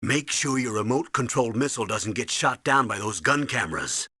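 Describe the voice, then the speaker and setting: A middle-aged colonel in a video game, stern and urgent. His voice is husky and sharply forceful, giving orders with earnest emphasis, recorded very dry. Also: mid-range voice, expressive, a little fast, no echo. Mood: instructing. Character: stern, urgent middle-aged male military commander, anxious mentor.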